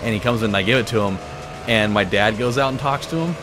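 Man chatting casually over loud game music. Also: on the low side, quick pace. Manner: animated storytelling with profanity. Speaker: gaming video host. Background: loud game music and car engines.

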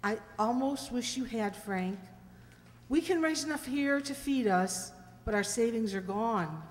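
A woman's voice: a little high, animated, unhurried. A woman playing a worried, supportive farm wife in an old-time radio drama, earnest and pleading, on a hall stage. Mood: concerned. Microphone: stand microphone. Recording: echoey hall stage recording.

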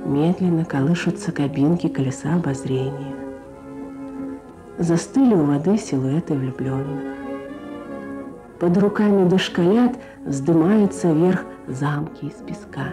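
A woman journalist presenting a scripted Soviet radio programme in a measured, lyrical, formal manner. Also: deep voice, over music. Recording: archival Soviet radio broadcast.

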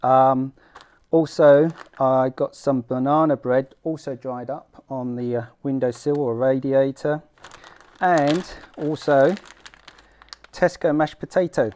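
A man's voice: mid-range voice, darkish tone. Casual middle-aged British man demonstrating. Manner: informal, self-deprecating, halting while busy with hands. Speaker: fishing vlog host. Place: small room at home, indoors.

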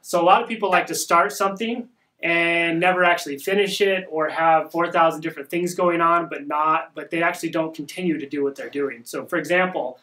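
A young man hosting a casual podcast at home, talking in a rambling, upbeat way with a soft voice, recorded by a camera across a small room. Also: fairly high pitch, steady tone, brisk, rough recording. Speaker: podcast host. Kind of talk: casual marketing podcast talk.

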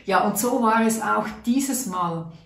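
A woman's voice: mid-range voice, a little slow, gravelly, fairly bright tone, far from the mic. A middle-aged woman, telling a personal story to camera in an earnest, chatty way, seated at a table in a small room. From Switzerland. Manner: chatty, earnest, acts out her own thoughts. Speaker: natural health vlogger. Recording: roomy sound from off-mic.

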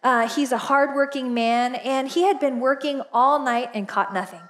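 A woman's voice: high-pitched, moderate pace, fairly bright tone. A woman in her forties, a pastor, giving a casual, chatty sermon from a church stage with lots of laughter and fillers. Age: 40s. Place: church stage, large indoor auditorium.

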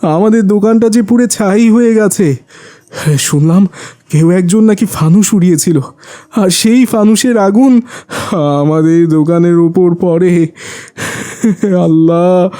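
A man's voice: sits high, fairly even intonation, unhurried, warm tone. A man playing a distraught middle-aged shopkeeper father, lamenting melodramatically in a children's moral cartoon. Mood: grief-stricken.

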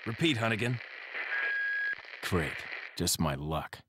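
An American actor playing a cool young action hero in a video game, dry, terse and sardonic. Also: male voice, low-pitched, lively intonation, slow, a bit bright, a little hiss or hum, exaggerated voice, poor audio. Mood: wry. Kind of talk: video game drama acting.

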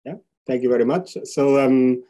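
A man's voice: mid-range voice, fairly even intonation, moderate pace, dark tone. A man in his thirties with a Portuguese accent, a professor speaking off the cuff with hesitant repeated words. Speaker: professor, seminar guest. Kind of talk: spontaneous account of career. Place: indoors on a video call with virtual background.

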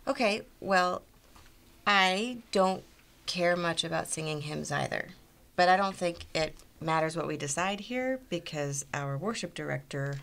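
Woman playing low-voiced hesitant committee member. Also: measured pace, creaky, fairly bright tone. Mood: reluctant. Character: hesitant, conflict-shy female church committee member. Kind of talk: scripted training film dialogue. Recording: faint background noise.

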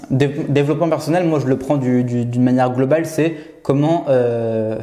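A man's voice: mid-range voice, mellow. A young man in his twenties vlogging to camera in a small room, unscripted, with many fillers, stutters and restarts. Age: 20s. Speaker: YouTuber. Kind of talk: unscripted vlog talking to camera. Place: small bare room indoors, seated. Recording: roomy echo.

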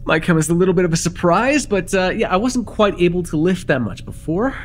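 A young man playing an excitable vampire in an immersive roleplay, casual and halting, insistent and teasing as he repeats himself. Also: high voice. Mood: insistent, pleading. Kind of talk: immersive ASMR roleplay acting.

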